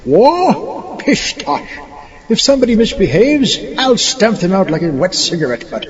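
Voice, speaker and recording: A man's voice: high-pitched, very animated, a little slow. A man playing a pompous, gleeful megalomaniac scientist villain giving a theatrical audio-drama speech. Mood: grandiose. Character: gleeful megalomaniac mad scientist villain seeking world domination. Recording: muffled, long hall reverb, crowd behind.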